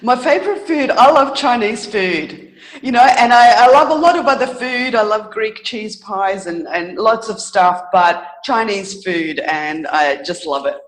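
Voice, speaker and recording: Woman being interviewed, mid pitch, reflective and earnest, kinda halting, fixes her words as she goes. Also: lively intonation, poor audio. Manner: earnest, halting, thoughtful pauses with self-corrections. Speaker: interview guest, author. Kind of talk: reflective, casual interview answers.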